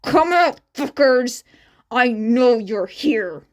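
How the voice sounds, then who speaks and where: Storybook teenage hothead, a woman acting a boy: forceful, aggressive, short fuse, animated pitch. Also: takes it slowly. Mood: angry, demanding. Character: hot-tempered, aggressive, foul-mouthed teenage boy.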